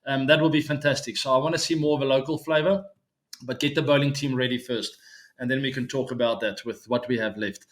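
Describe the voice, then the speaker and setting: A man in his forties, Afrikaans his first language, talks straight to his viewers in a loose, friendly way. He fills gaps with ums and uhs and laughs easily, his voice sitting in an ordinary middle pitch. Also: steady tone, brisk. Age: 40s. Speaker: cricket show host. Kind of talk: casual solo chat to viewers.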